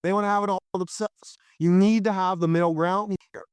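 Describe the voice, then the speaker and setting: Young male podcast host, hoarse and fired up, clear high voice, dry sound. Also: steady tone, a little fast, dry recording. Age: young adult. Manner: impassioned, preachy, addresses listeners directly. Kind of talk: solo political commentary.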